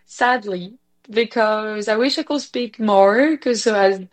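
A woman's voice: mid-range voice, slow. A woman in her thirties from Belgium, a native French speaker with a Belgian French accent, chatting as an interview guest with many fillers and restarts. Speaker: executive director, guest. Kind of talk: casual interview chat.

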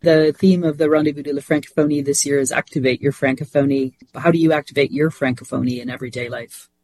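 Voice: mid-range voice, steady tone, quick pace, darkish tone, no echo. A podcast host asking interview questions and reading a scripted sign-off. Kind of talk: radio interview.

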